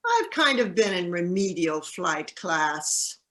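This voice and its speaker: Bright, excitable young fledgling seagull played by a woman. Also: lowish voice, slow, heavily acted. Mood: defensive. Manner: earnest, wide-eyed, excitable. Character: naive, nervous young fledgling seagull learning to fly. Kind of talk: amateur virtual one-act play acting.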